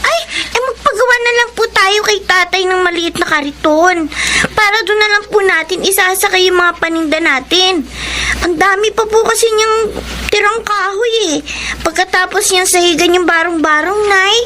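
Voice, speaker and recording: A cheerful, helpful young girl in a Filipino radio drama. Her voice is extremely high and bright. She sounds polite and eager, over faint noise. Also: normal speed.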